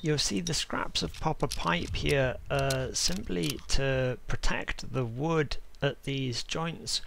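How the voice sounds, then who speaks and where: A man's voice: mid-range voice, slow, vocal fry, fairly bright tone, a little hiss or hum. A man giving a conversational, explanatory DIY voice-over in an understated, informal way. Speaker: DIY video maker and narrator.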